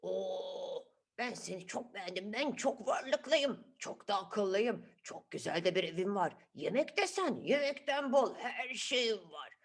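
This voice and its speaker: Woman playing a boastful, sly mole suitor, swooping pitch. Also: animated, measured pace. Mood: boastful, smitten. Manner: boastful, wooing.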